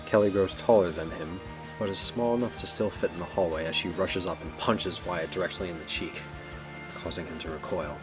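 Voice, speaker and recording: A man with a very low voice narrates a superhero audio drama. He reads scripted action scenes over faint music. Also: a little fast.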